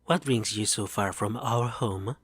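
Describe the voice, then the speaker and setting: A man's voice: lowish voice, measured pace, breathy edge, no echo. A man doing a dry-witted dwarf. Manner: plain, dry, wry remarks. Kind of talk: fan audio drama roleplay.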